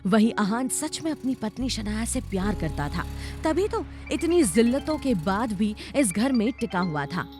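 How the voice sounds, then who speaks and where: A woman narrates a drama serial with dramatic flair. Her voice is fairly high and very animated, over a soft music bed. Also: moderate pace. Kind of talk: dramatic scripted story narration.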